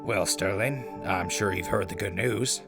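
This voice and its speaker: A man's voice: lowish voice, a little slow, creaky, music in the background. A man playing a wry, easygoing radio host presenting a show in a post-apocalyptic audio drama. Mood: upbeat.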